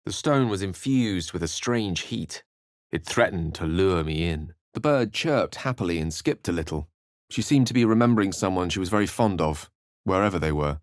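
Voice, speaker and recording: An actor playing a scholarly, self-assured and romantic human wizard in a video game, with an eloquent, theatrical way of speaking. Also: male voice, deep voice, expressive, normal speed, dry recording, clean studio sound. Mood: grave, narrating. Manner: eloquent, theatrical, ornate phrasing. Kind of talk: video game character lines and narration.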